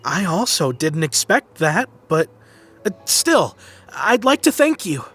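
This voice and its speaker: A superstitious, easily spooked young townsman, stammering and flustered. He speaks slowly, his pitch rising and falling a good deal. Faint game music plays behind. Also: big pitch swings, fairly bright tone, heavily acted.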